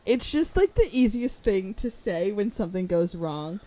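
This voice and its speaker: A woman in her twenties co-hosting a podcast, chatting in a rambling, animated way, her voice raspy today. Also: medium pitch, moderate pace. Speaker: podcast co-host. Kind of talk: casual chat.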